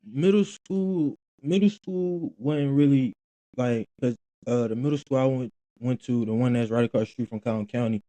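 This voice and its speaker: A man's voice: mid-range voice, moderate pace, mellow, dry recording, low-quality recording. A young man, a former college football player chatting loosely as an interview guest, full of slang and restarts. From Philadelphia, Pennsylvania. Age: 20s. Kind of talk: casual interview talk.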